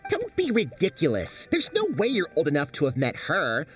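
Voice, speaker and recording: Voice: medium pitch, wildly swooping pitch, moderate pace, distant mic. An actor playing a sardonic small forest creature, mock-polite and teasing, in a game cutscene. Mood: scoffing. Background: music.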